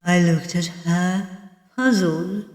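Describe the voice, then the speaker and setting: A woman's voice: lowish voice, fairly even intonation, takes it slowly, darkish tone. A woman from Russia, a native Russian speaker, reading a visual novel aloud with dramatic narration and voice acting. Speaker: let's player reading the game aloud. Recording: echoey, long reverb, distant sound.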